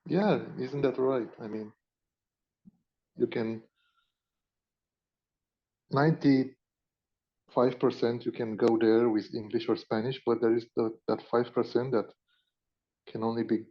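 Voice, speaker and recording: A man with a Romanian accent talking casually as a podcast co-host, in a halting way, searching for his words. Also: medium pitch, fairly even intonation. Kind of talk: casual unscripted conversation. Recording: dull lo-fi audio.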